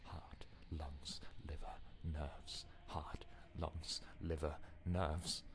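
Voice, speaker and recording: An obsessive voice inside the head, performed by a man, chanting in a low, breathy whisper. It repeats in a hushed game-horror mantra, slow and unrelenting. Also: little pitch movement, heavily acted.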